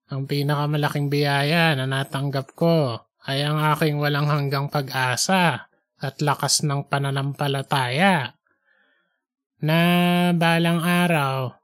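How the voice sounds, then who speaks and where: A performer voicing a devout, earnest man in his late eighties for an audiobook story, speaking slowly and deliberately. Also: mid-range voice, fairly bright tone, no room sound, studio quality, natural voice. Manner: slow, deliberate, hesitant openers. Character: pious, earnest 87-year-old Filipino grandfatherly man. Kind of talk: fictional audiobook character dialogue.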